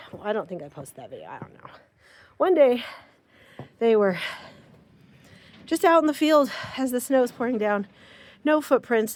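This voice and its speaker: A woman's voice: medium pitch, a little mellow. Breathless woman vlogging in the snow. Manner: rambling, chatty, with many fillers and quoted asides. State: short of breath from cold and altitude. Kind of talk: casual outdoor vlog storytelling. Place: outdoors on a snowy rural property.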